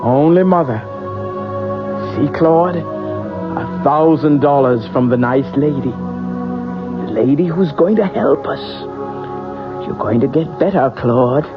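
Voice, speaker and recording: A man playing a secretive fortune teller in an old-time radio drama, speaking in a deliberate, cryptic and insistent manner. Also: on the high side. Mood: tender, crooning. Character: secretive fortune teller, doting parent to sick Claude. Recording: muffled vintage radio recording, music behind.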